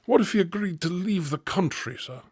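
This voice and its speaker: A man's voice: high-pitched, animated, normal speed, slightly rough, dry recording, studio quality. A man playing a deferential, evasive manor servant, loyal to family, with a hesitant, pleading manner in an audio drama.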